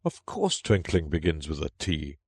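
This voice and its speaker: A man voicing an irritable, bossy king with a sharp, commanding manner in a storybook reading. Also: a bass voice, huge pitch swings, moderate pace, breathy edge, no echo, clean studio sound, full character voice. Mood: angry, sharp. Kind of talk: storybook character voice.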